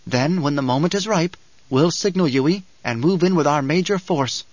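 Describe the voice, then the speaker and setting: A sly adviser, voiced by a male narrator, lays things out precisely in a slightly high male voice. The delivery is measured and smug, in audiobook style, on a very dry recording. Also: darkish tone, no echo, highs cut off, close to an everyday voice. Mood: smug scheming. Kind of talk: audiobook character acting.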